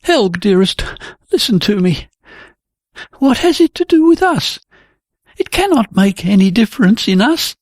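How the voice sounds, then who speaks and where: A narrator voicing a gentle, earnest young woman painter who soothes her lover. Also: mid-range voice, very animated, unhurried, long pauses, dry recording, clean studio sound, voice pushed up. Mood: soothing.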